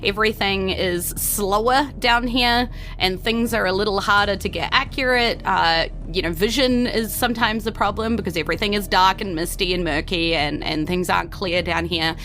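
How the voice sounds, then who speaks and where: A woman's voice: medium pitch, moderate pace. A woman with a New Zealand accent hosting a tabletop game podcast, chatty and playful as she narrates. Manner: playful, animated, giggly. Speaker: game master and podcast host. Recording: music behind the voice.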